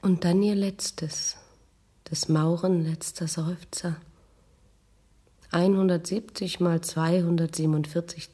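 An actress playing a calm, probing therapist in a radio play, coaxing in a soft, whispery voice. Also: female voice, on the low side, breathy edge, heavily acted.

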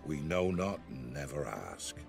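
A man's voice: very deep voice, big pitch swings, unhurried, creaky, over music, exaggerated voice. A man playing a solemn, devout peasant elder in a fantasy game, speaking in archaic rustic phrases. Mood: defensive, stern. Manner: archaic, reverent rustic speech. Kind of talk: fantasy video game acting.

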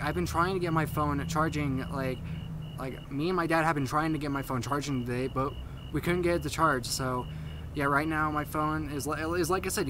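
A young man who stutters, giving a casual update to camera in a halting way, repeating and restarting his phrases. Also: fairly high pitch, background noise. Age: young adult. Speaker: video maker giving a channel update. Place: outdoors at night on a paved path.

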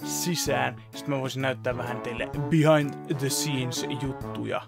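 Brief: young male vlogger, deeply gravelly, mid-pitched, relaxed walkthrough delivery, filmed close-up at a home desk. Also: over music. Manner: relaxed, explaining step by step with fillers. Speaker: vlogger and fan dubber. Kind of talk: casual behind-the-scenes chat. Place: home room at a computer desk.

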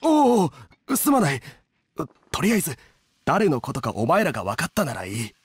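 Hearty male cook, fairly high voice, animated intonation, casual and straightforward, anime game. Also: moderate pace, breathy edge, no room sound, clean studio sound, heavily acted. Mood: confiding. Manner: plain, casual, blokey speech. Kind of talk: anime video game voice acting.

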